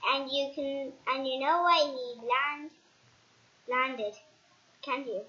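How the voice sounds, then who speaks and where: A child reading a storybook aloud in an animated way, haltingly, with restarts and dramatic emphasis. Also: high-pitched, muffled recording. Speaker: storybook reader.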